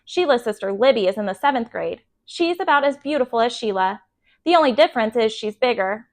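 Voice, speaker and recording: Female storyteller with a high voice for an expressive children's book read-aloud. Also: brisk. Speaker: bedtime story reader.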